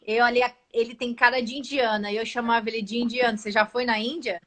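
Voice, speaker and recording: A woman's voice: a little high, fairly even intonation, fast talker, a bit bright. A woman from Brazil hosting a casual chat, reacting with exclamations and asking questions. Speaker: host.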